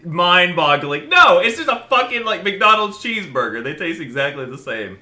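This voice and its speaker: Young male co-host, sharp bright tone, profane animated ranting. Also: high voice, normal speed. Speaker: podcast co-host. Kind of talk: casual chat.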